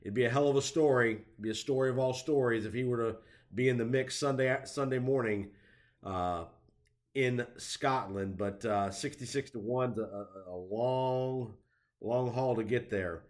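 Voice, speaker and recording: A man with a stuffy head cold giving casual, conversational golf commentary and predictions, with lots of fillers. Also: medium pitch. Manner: conversational, many fillers, drawn-out emphasis.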